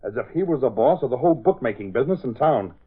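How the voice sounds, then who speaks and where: Old radio gangster boss, raspy, suspicious, swinging intonation, muffled serial sound. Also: male voice, mid-range voice, animated, quick pace. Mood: uneasy. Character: wary, shrewd gambling ringleader, a radio villain. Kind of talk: 1930s-40s radio drama acting. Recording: muffled narrow-band old radio recording.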